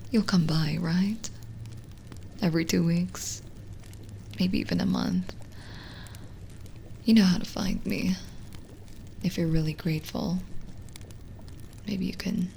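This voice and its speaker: Woman playing a slow-spoken, gentle lonely witch. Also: fairly low pitch, steady tone, vocal fry, a little hiss or hum. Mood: wistful, hopeful. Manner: gentle, hesitant, explanatory. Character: lonely, kindly young witch healer, gently reassuring. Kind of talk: ASMR roleplay, intimate scripted monologue.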